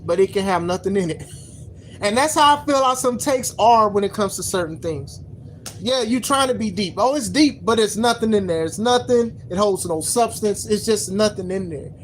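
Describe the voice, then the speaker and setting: Podcast host, 30s, mid-pitched, casual rambling takes, slangy and repetitive. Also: expressive, moderate pace, slight background noise. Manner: rambling, repeats words, slangy. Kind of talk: casual opinion commentary.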